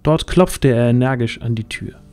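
A man narrates a fantasy audio drama. His voice is mellow and dark, at a middling pitch, reading scripted storytelling over faint music. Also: moderate pace. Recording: music under the voice.

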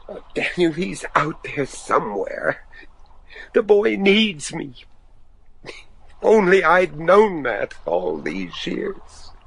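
A man's voice: fairly high pitch, sing-song, slow pace, gravelly, slightly rough, heavily acted. An actor playing a devout, anguished older father, a judge desperate to save his son, pleading earnestly and theatrically in a gothic horror radio drama. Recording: noisy radio drama recording.

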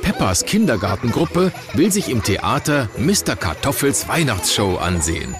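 A man's voice: mid-range voice, big pitch swings, normal speed, lightly acted. A man playing a friendly storybook narrator, reading scripted narration for a children's audio play. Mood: friendly, explaining. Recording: music behind.

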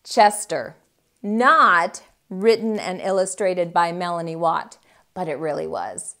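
A middle-aged woman hosting children's storytime, reading a picture book aloud in a theatrical, deliberate, emphatic way. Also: lowish voice, animated, fairly bright tone. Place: small indoor room with bookshelves.

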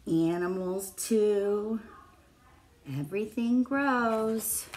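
A middle-aged woman, a preschool teacher, reading a picture book aloud to young children in simple phrases. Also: on the high side. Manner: simple child-directed phrasing with questions to listeners. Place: small classroom, indoors. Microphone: phone or tablet at arm's length.

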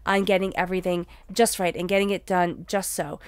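A woman's voice: lowish voice, steady tone, brisk, smooth, no echo. A woman with a congested voice gives a conversational spiritual tarot reading, earnestly repeating and rephrasing for emphasis. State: congested from allergies. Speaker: tarot reader.

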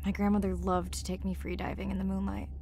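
An actress playing a warm, eager marine scientist and diver in natural video game dialogue. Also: female voice, mid-range voice, little pitch movement, fast, vocal fry, noisy background, lightly acted. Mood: warm, conversational. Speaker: voice actor. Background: music.

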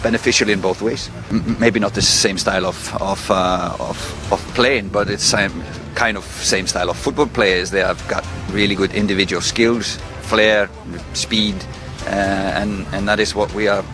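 A man with an Icelandic accent answers into a handheld mic outdoors, halting and restarting often in a low voice. Music plays loudly over him. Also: fairly even intonation. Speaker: national team coach. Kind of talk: press interview. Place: outdoors by a training pitch. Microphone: handheld reporter mic.

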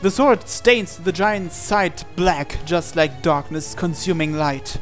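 A man reading a visual novel aloud as a dramatic narration, with deliberate pauses. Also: on the high side, a bit bright. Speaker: gaming channel host. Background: game music.

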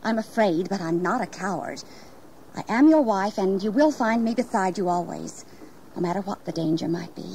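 An actress playing an anxious, devoted, genteel young wife in a historical audio drama, fretful and hesitant. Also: female voice, medium pitch, moderate pace, dark tone, natural voice. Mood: frightened, loyal. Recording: noisy 1980s audio drama tape.

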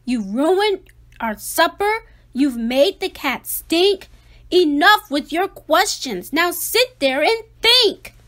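Woman putting on a stern, high-voiced frazzled parent. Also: animated, takes it slowly, fairly bright tone, exaggerated voice. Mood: angry, commanding. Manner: stern, emphatic, commanding. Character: frazzled, angry parents scolding their young daughter.

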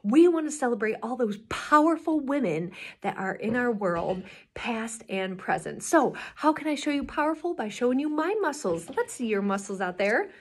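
A woman with a notably high voice leads a story time for young children. She speaks directly to her little viewers in an encouraging, expressive way, as a presenter in a small indoor room. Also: moderate pace, breathy edge. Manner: animated, encouraging, exaggeratedly expressive.

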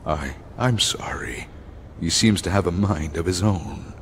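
A man voicing a nervous, apologetic older ventriloquist, stammering and pleading in a raised, husky voice. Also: sits low, a little slow, a little hiss or hum. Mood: anxious, pleading.

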